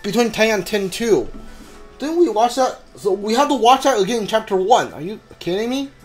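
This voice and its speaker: A male gaming streamer talks casually through a live playthrough. He is halting and often repeats words while working out his thought. Faint music plays behind him. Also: high-pitched, animated. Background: faint game music.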